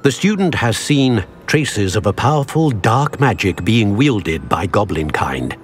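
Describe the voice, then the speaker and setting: An actor playing a dignified older wizard mentor in a video game, formal and deliberate in his speech. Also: on the low side, big pitch swings, faint music behind. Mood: grave, urgent. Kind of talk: video game cutscene acting.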